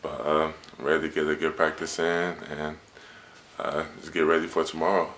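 A man's voice: a bass voice, little pitch movement, normal speed, very noisy. A young man, a college basketball player, talking casually to a phone camera in a hotel room, in an easygoing way with lots of fillers. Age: young adult. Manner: laid-back, filler-heavy talk. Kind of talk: casual vlog narration. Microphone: phone camera at arm's length.

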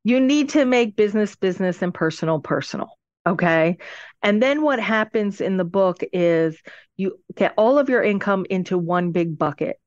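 A woman's voice: mid-range voice, animated, moderate pace, a little mellow, no room sound. A woman speaking as a podcast guest and business adviser, explaining conversationally and emphatically, step by step. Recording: dull lo-fi audio.

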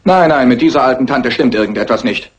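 An impatient gentleman played by a man in an old mystery film. He speaks quickly and briskly, sounding suspicious and defensive. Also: medium pitch, lo-fi sound, slight background noise, natural voice. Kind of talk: dubbed classic mystery film acting.

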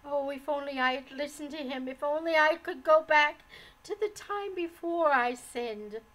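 Woman performing a heartbroken storybook wife, high pitch, trembling, sobbing, pleading, unhurried. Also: mellow, rough recording. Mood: desolate. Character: heartbroken, despairing, unhappily married young mother.

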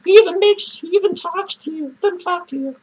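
A woman playing an unhinged, drunk male clown who rambles crudely, cackles manically and lurches between shouts and mutters. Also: very high voice, fairly quick, very noisy. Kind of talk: improvised character monologue to viewers.

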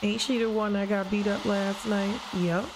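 A young woman livestreaming a life-sim game, chatting casually with her viewers in a lively, joking, profane way on a headset mic. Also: medium pitch, moderate pace, background noise. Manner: lively, joking, talks back to chat, profane slang. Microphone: headset mic at desk. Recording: game music playing behind.

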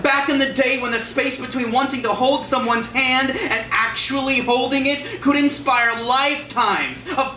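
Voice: mid-range voice, sharp tone, slight background noise. A poet reciting slam poetry live. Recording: echoey, distant mic, crowd behind.

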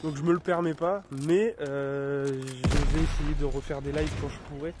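A man's voice: a little high, fairly even intonation, moderate pace, noisy background. A man in his thirties with a cold, chatting casually and rambling over his gameplay at home. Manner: rambling, many fillers and restarts. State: has a cold. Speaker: gaming YouTuber. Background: game gunfire and effects.

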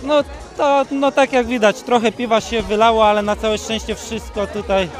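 Male waiter, 30s, higher voice, easygoing, handheld mic with music. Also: fairly even intonation, moderate pace. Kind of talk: street interview. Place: outdoors on a crowded town square. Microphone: handheld reporter mic. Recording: outdoor street recording with crowd and music.

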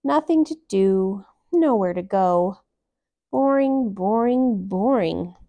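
A woman's voice: mid-range voice, lively intonation, slow, warm tone. A woman voicing a gloomy, bored female unicorn in a children's story read-aloud, complaining that nothing ever happens. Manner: sulky, complaining.

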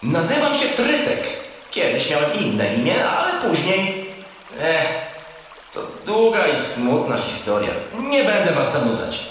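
A man playing a young merboy in a children's audio drama, boyish and expressive, friendly but plaintive. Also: on the high side, measured pace, full character voice. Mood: wistful. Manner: boyish, expressive, uses sound words. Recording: echoey, reverberant cave effect, faint noise.

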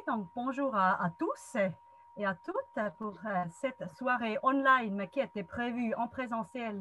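A woman in her forties whose Swiss German accent marks her as a non-native speaker gives an explanatory lecture over a webcam call. Her voice sits a little high, and she talks in a friendly way with frequent hesitations. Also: fairly bright tone, a little hiss or hum, poor audio. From German-speaking Switzerland. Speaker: guest speaker, psychologist and trainer. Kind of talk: online lecture presentation. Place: wood-panelled room at home. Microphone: webcam video call.

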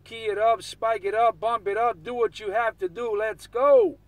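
A man's voice: very high-pitched, fairly even intonation, normal speed, dry recording. A man talking casually in CB radio slang, repeating catchphrases as he operates and records. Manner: repetitive, chant-like catchphrases.

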